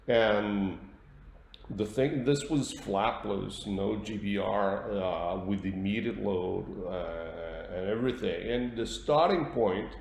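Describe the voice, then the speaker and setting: Gravelly, slow-paced Portuguese male lecturer. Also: lowish voice, darkish tone. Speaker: dentist giving a webinar lecture. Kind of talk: instructional webinar presentation. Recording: roomy echo with light background noise.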